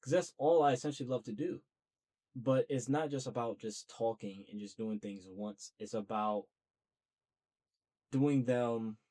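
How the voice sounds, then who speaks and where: A man's voice: mid-range voice, darkish tone. A young man talking earnestly and at length to camera about starting a podcast, in a loose, chatty way. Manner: talkative, earnest, self-motivating. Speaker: podcast host. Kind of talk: casual solo monologue.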